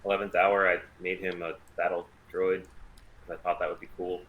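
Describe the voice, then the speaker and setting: A man's voice: deep voice, flat delivery, brisk, phone-quality sound, a little hiss or hum. A man chatting casually as he organises a group script read over an online call. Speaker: writer and organiser of the table read.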